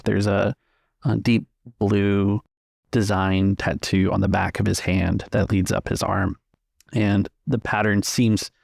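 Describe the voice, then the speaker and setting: A man's voice: on the low side, creaky, a little mellow, no echo, studio quality. A man speaking as game master and podcast host, narrating for a tabletop game show. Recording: music bed behind the voice. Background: music.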